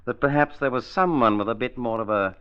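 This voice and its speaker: An actor playing a cunning manservant in a 1940s radio mystery, formal and deferential yet menacing and insinuating. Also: male voice, mid-range voice, brisk, clear, resonant tone, natural voice. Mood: smugly insinuating. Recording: dull lo-fi old radio recording.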